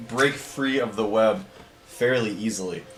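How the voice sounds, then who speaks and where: A man's voice: on the low side, unhurried, fairly bright tone, faint music behind. A man acting as game master, casually running a tabletop roleplaying game. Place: around a table indoors at a home game.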